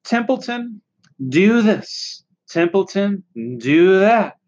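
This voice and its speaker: A woman's voice: medium pitch, sing-song, takes it slowly, lo-fi sound. A woman voicing a lazy, grumbling male rat from a storybook, mocking and drawn out in complaint. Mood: disgusted, sarcastic.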